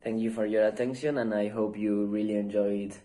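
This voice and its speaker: A man presenting a narrated software demonstration in a measured, deliberate manner. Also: on the low side, fairly even intonation.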